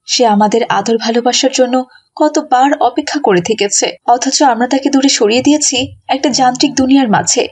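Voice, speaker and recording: A woman's voice: sits high, moderate pace, a little mellow, a little hiss or hum, lightly acted. A woman voicing a worried, remorseful mother who speaks earnestly and piously in a cartoon story. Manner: earnest, moralising.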